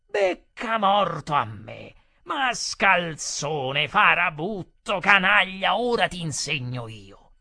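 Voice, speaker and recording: A man's voice: high voice, sing-song, slow pace, vocal fry, dry recording, studio quality, voice pushed up, exaggerated voice. A man voicing a fat, pompous, bullying customer who blusters indignantly, in a story reading. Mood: furious. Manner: indignant, shouting insults.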